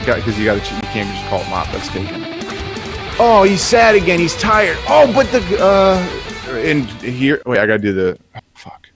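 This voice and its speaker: A man improvising an entitled, flustered rich kid at a comedy commercial audition, halting and defensive. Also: a little high, wildly swooping pitch, music in the background. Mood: flustered pitching. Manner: halting, defensive, self-important. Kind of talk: improvised comedy show banter.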